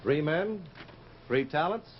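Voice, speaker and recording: An actor playing a brooding, intense sculptor in love, in old-time radio drama style, on a muffled vintage recording. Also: male voice, a little high, animated, takes it slowly, background noise. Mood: solemn reading.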